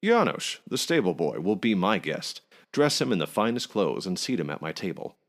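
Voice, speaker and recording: A man voicing a jovial king in a folk tale, giving brisk regal orders with a playful, teasing edge. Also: deep voice, very animated, pitched above the performer's own voice. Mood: commanding. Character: jovial, commanding male king, teasing and sly. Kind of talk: folk tale storybook reading.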